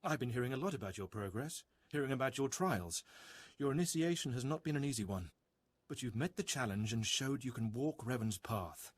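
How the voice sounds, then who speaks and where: An actor playing a solemn cult mentor in a video game, speaking in a measured, reverent, husky voice. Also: male voice, medium pitch, animated, no room sound, natural voice. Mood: solemn, approving. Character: solemn male cult elder guiding an initiate. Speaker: game character, cult initiator. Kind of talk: dramatic video game voice acting.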